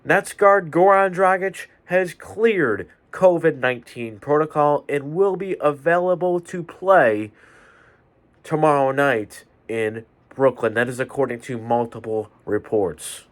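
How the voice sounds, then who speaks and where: Slow-talking stuffy-nosed man. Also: a little high. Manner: measured, deliberate delivery. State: stuffy nose. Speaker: podcast host. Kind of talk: solo sports podcast preview. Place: home bedroom with fans running.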